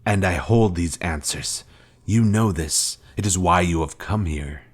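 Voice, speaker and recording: Man acting a very deep, vengeful fallen master. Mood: grave, accusing. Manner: grave, deliberate, formal, ominous. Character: vengeful fallen Jedi master, cold, commanding former mentor. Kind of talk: scripted video game villain dialogue.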